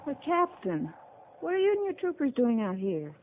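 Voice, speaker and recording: A woman's voice: fairly high pitch, big pitch swings, normal speed, slight background noise. An actress playing a proud, direct young ranch woman in a 1950s radio western. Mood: surprised, curious. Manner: pert, direct questioning. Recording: muffled narrowband 1950s radio recording.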